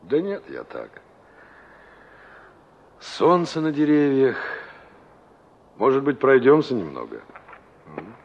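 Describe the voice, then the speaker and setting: An actor in a Soviet radio play as a pensive young engineer, musing and questioning. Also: male voice, medium pitch, wildly swooping pitch, slow, pauses often, a little mellow, exaggerated voice. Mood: wistful. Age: young adult. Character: pensive young engineer asking after a girl he knew. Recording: dull old radio drama recording.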